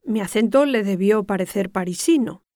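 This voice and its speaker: An older woman from Spain with a Castilian accent delivers scripted educational narration in a measured, didactic way, articulating carefully. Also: mid-range voice, animated, mellow, no room sound, clean studio sound. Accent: Castilian Spanish. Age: 60s or older.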